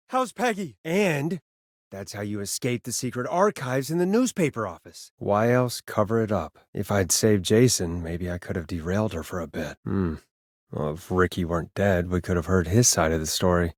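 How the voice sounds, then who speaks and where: A rattled but earnest radio host in a video game, mid pitched, halting and stammery, really dry sound. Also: male voice, a bit bright, no echo. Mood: frightened, pleading, then grave, piecing together. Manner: halting, stammering, conversational delivery. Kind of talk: dramatic video game voice acting.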